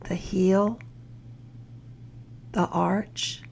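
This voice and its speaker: A woman guides a body scan meditation at a very slow, unhurried pace. Her voice sits at a medium pitch with noticeable creak, and she instructs gently, letting phrases fall away softly. A faint noise sits behind her. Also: fairly even intonation. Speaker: mindfulness mentor leading a body scan.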